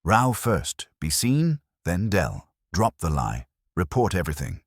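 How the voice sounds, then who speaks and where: A man's voice: low-pitched, very animated, measured pace, slightly rough, no room sound, studio quality, pitched above the performer's own voice, exaggerated voice. A man voicing a terse, commanding, scheming master detective in a mystery story, imperious in manner.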